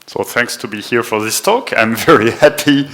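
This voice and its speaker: A man with a French accent presents a technical talk in a conference room. He speaks in a loose, chatty way, pokes fun at himself and fills his pauses with frequent ums and uhs. He sounds like a man in his forties. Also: mid-range voice, fairly bright tone. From France. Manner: chatty, self-deprecating, with frequent fillers.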